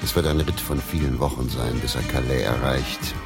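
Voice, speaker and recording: An actor in a German radio play as a wry English stage player with a dry, expressive delivery. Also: male voice, rumbling low, a little fast. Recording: loud music under the dialogue. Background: music.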